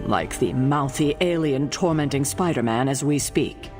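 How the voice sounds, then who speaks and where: An actor playing an earnest superhero teammate in a video game, conciliatory with a dry humour. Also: male voice, medium pitch, normal speed, over music, natural voice. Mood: earnest, wry. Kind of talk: video game dialogue acting.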